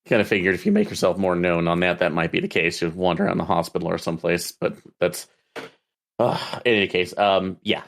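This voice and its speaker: A man with a deep voice plays a tabletop role-playing game. He talks casually as his character, halting and tentative as he puts his plans together. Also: animated.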